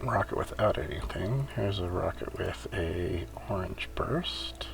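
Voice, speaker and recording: Slow, creaky-voiced man thinking aloud. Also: sits low, expressive, over music. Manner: thinking aloud, muttering step by step. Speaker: gamer hosting a server event. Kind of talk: casual gameplay commentary.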